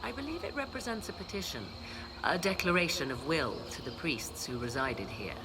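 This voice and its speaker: An actress playing a poised, learned and haughty sorceress in a video game, speaking in a measured, formal, theatrical way. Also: female voice, low voice, lively intonation, noisy background. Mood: musing. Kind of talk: video game dialogue acting. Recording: game music behind the voice.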